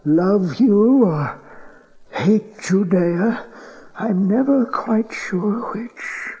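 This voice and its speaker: Creaky, dragging, bitter old dying king. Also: high voice, animated, mellow, exaggerated voice. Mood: bitter, scornful. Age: older. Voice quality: creaky, weak, wasted voice. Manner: drawn-out, bitter, sardonic. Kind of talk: dramatized Bible audio drama. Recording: dull cassette tape transfer.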